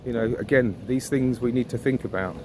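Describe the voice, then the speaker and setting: A man's voice: medium pitch, brisk. A man giving a casual, reflective walking monologue as a vlogger, musing and posing rhetorical questions. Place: outdoors on a riverside walk. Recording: outdoor ambient noise, birds and traffic.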